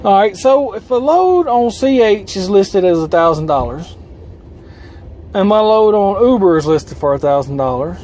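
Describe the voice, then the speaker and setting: High-pitched folksy trucker man, mellow tone. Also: animated, moderate pace. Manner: folksy, colloquial. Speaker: truck driver and vlogger. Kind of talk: casual explainer vlog.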